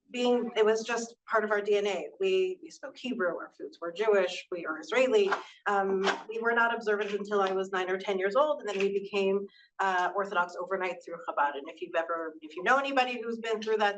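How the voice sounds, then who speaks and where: A woman introduces herself as a panelist at a conference. She speaks candidly, with a dry, self-mocking humor. Her voice sits in a middle pitch but sounds set back from the mic, with a faint hiss behind it. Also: far from the mic, highs cut off. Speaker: panelist, nonprofit executive director.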